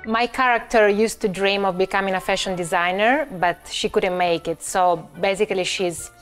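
A woman's voice: medium pitch, fairly even intonation, normal speed, faint music behind. A woman from Italy with an Italian accent, an actress joking lightly in an interview. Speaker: actor. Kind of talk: press interview.

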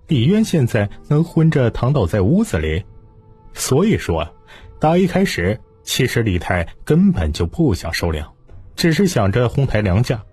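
A man's voice: on the low side, darkish tone, slightly rough, dry recording. A man narrating an audiobook as a dramatic storyteller.

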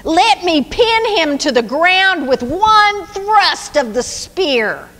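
A woman voicing an eager young male soldier who speaks slowly and emphatically. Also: very high-pitched, big pitch swings, fairly bright tone, long reverb tail, pitched above the performer's own voice, heavily acted.

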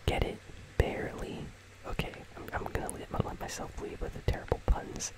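A gaming host delivers ASMR commentary in a continuous whisper. The voice is breathy and unvoiced, with no full tone. The delivery is soft and casual, rambling gently from one remark to the next. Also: normal speed, low-quality recording. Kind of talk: ASMR whispered commentary on a game item shop.

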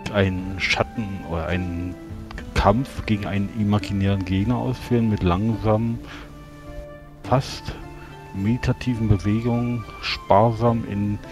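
A man's voice: lowish voice, fairly even intonation, unhurried. A man playing a tabletop roleplaying game, hesitantly describing what his character does. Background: soft music.

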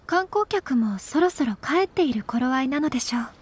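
A woman's voice: sits high, lively intonation, normal speed, a little hiss or hum. An actress playing a demure, courteous young noble lady in a video game, speaking politely and formally. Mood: polite, composed. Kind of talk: anime-style video game voice acting. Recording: background game music.

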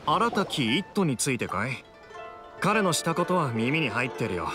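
Young male adventurer, anime game acted by a man: easygoing, thoughtful, very expressive intonation, loud music behind. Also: mid-range voice, normal speed, lightly acted. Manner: casual, hesitant, reflective.

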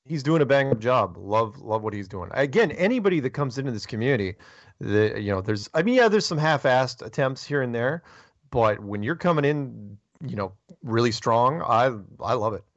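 A man's voice: mid-range voice, lively intonation, normal speed, dry recording, muffled recording. A man hosting a call-in show, chatty and joking in casual talk. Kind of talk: casual call-in radio chat.

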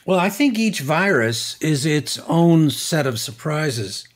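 An older man answering interview questions as a scientist, explaining in a measured, halting way with frequent pauses. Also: fairly high pitch, sing-song. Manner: measured, halting, many fillers. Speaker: guest scientist.

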